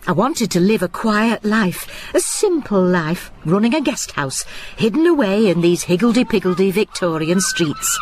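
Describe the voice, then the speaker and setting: A woman's voice: medium pitch, wildly swooping pitch, normal speed, a little mellow, slight background noise. An actress playing a cheerful retired landlady who narrates her own story in a radio drama, cosy and wry. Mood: confiding. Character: Brenda, a cheerful retired seaside landlady with a secret. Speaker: narrator and lead character.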